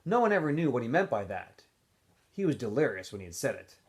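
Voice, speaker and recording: A man reading a story aloud as a teacher would, in an expressive, animated narrating style. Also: medium pitch, fast. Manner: animated, acts out dialogue in shifting voices.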